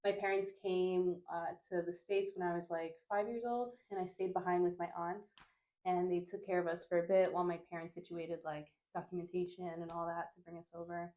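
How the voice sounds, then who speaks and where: A young woman with a fairly low voice talks easily as a podcast guest. She is from Honduras and grew up in New Jersey, and her speech runs loose and chatty, full of "like" and "you know". The sound has the ring of an echoey room. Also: flat delivery, fairly quick, phone-quality sound. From Honduras, raised in New Jersey. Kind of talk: casual conversational interview.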